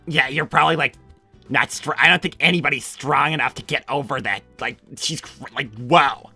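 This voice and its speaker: A woman voicing a laid-back but excitable young male cartoon animal, hesitant and slangy in casual improvised chat. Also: a bass voice, moderate pace, vocal fry, husky, soft background music, exaggerated voice. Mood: musing. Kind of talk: improvised in-character livestream chat.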